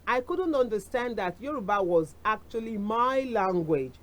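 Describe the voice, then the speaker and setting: A woman's voice: on the high side, lively intonation, normal speed. A middle-aged woman with a Nigerian accent hosting a television talk show, speaking in a chatty way with asides and dropping in Yoruba phrases. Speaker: TV show host. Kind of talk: casual chat to camera.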